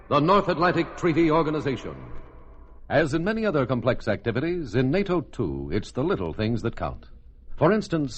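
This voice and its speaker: A man delivering a formal, measured public service announcement in 1950s radio style. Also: mid-range voice. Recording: muffled old radio recording.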